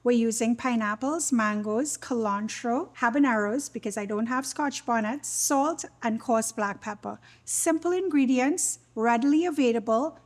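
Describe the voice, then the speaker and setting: High-voiced Trinidadian woman teaching cooking. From Trinidad and Tobago. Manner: explains step by step, informal. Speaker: cooking demonstrator, small catering business owner. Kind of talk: conversational cooking tutorial.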